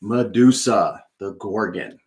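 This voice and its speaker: A man, a children's author hosting a live read-aloud, moving between casual chat and expressive, dramatic poetry reading. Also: fairly low pitch, slow.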